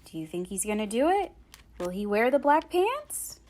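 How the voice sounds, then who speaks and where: A woman storyteller reading a picture book aloud to children, with expressive, dramatic phrasing and careful enunciation. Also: a little high, moderate pace, slight background noise.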